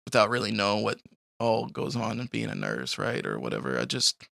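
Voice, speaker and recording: A young man from Colombia with a gravelly, fry-laced voice that still sounds bright and forward. He tells his story in a loose, rambling way, full of "like" and "you know," and laughs easily. The sound is dry and close. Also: fairly low pitch, sing-song, brisk, dry recording. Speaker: podcast guest. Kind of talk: casual life-story interview.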